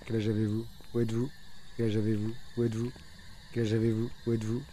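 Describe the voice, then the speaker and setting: A man's voice: fairly low pitch, monotone, fast talker, dark tone, a little hiss or hum. A man streaming a horror game, chanting the same questions at ghosts over and over and talking to his viewers now and then. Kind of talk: live gameplay streaming.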